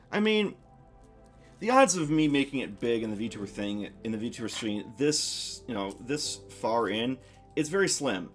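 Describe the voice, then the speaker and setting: A young man talks casually on a stream in a masculine voice that sits at an ordinary middle pitch. He muses aloud, wandering through thoughts with restarts and fillers. Faint music plays behind him. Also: animated, slow, pauses often. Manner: rambling, reflective, many restarts and fillers.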